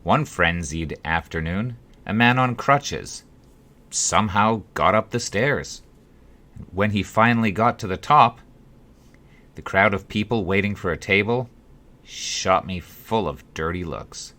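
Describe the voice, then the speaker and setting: A man reading his own story as an audiobook, in a wry, dryly humorous way with dramatic pauses. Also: sits low, sharp tone. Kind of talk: scripted audiobook narration of a memoir-like story.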